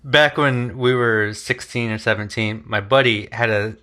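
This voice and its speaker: A man co-hosting a podcast in casual chat, telling a story in an animated way and laughing as he goes. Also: lowish voice, a little slow, a little hiss or hum. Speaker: podcast co-host.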